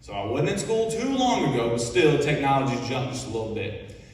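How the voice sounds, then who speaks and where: A man's voice: mid-range voice, sing-song, brisk, recorded from a distance. Folksy young man from Ohio, echoey hall. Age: 20s. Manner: folksy and conversational, keeps checking in with the audience. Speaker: Navy petty officer, guest speaker. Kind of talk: informal speech at a school assembly. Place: large echoing school hall. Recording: echoey hall recorded from afar.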